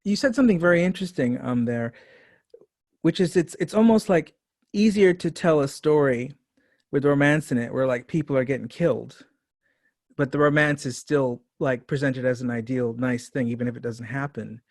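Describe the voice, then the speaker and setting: A man's voice: mid-range voice, normal speed, a little mellow, no room sound, clean studio sound. A man conducting an interview in a conversational way, as a writer and director would.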